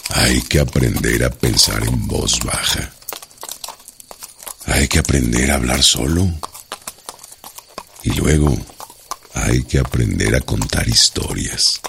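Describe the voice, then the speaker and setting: An actor playing a male revolutionary recounting his outlaw youth in radio drama, solemn and deliberate. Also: a bass voice, gravelly, a bit bright, slight background noise, full character voice. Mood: brooding. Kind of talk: dramatised first-person radio narration.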